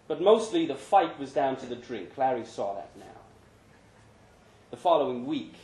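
A man's voice: medium pitch, a little mellow, slight background noise. A man narrating a short story dramatically at a live reading. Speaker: story reader. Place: indoor pub event. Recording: roomy live pub reading.

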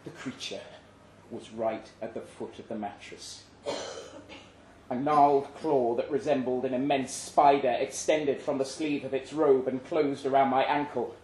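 A man reading literary fiction aloud at a live event, measured and suspenseful, with deliberate pauses. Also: medium pitch, fairly even intonation, mellow. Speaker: story reader. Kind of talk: dramatic literary narration, read aloud live. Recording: roomy live venue, faint background noise.

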